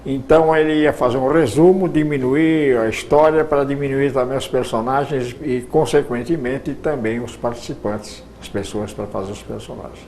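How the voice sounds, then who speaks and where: A man's voice: mid-range voice, moderate pace, few pauses, a little mellow, slight background noise. An older man with a Brazilian accent answering informally as an interview guest, rambling and often repeating his words. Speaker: interview guest, radio broadcaster.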